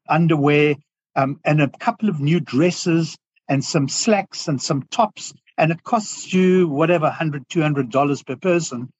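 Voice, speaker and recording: Earnest South African man, husky voice. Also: fairly high pitch, lo-fi sound. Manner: earnest, reflective, halting with restarts. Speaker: guest business owner. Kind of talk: podcast interview.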